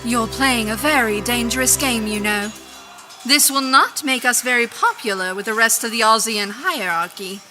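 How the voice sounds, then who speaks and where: An actress playing an earnest, protective good witch in an audio drama, with brisk, formal, theatrical phrasing. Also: fairly high pitch, bright, forceful tone. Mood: reproachful. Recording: music behind the voice.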